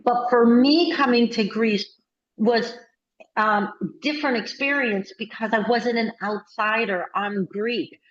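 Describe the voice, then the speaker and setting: A woman, chatting on a video call as a workshop participant and telling stories with quick, animated bursts. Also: on the high side. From United States. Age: 60s. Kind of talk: casual video call chat. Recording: roomy, slightly muffled call audio.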